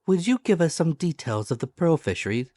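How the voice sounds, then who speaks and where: A man's voice: fairly high pitch, very animated, brisk, a little mellow, clean studio sound, voice pushed up. A man voicing a polite, deferential and eager Victorian manservant in an audiobook, formal and proper in manner. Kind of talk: dramatic audiobook character voice. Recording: echoey room, music behind.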